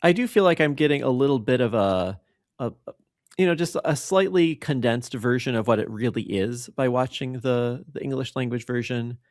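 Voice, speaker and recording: A man co-hosting a podcast, talking casually in a tactful, measured way as he searches for his words. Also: medium pitch, a little mellow, dry recording, clean studio sound. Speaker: podcast co-host.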